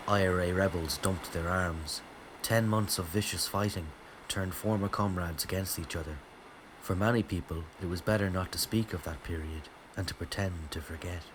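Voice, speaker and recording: A man with a fairly deep voice delivers scripted narration for a historical drama. He is measured and solemn. Noticeable ambience runs behind his words. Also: background noise.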